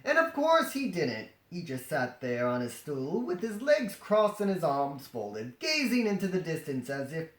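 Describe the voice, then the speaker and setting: A storyteller reading aloud comedically, with animated, sarcastic improvised asides. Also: medium pitch, measured pace, few pauses. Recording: roomy, echoing sound.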